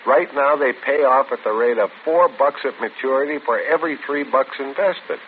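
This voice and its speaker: A man's voice: medium pitch, normal speed, close to an everyday voice. An actor playing an earnest young husband in a 1940s radio skit, eagerly arguing his point. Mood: persuading. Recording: tinny old radio broadcast with hiss.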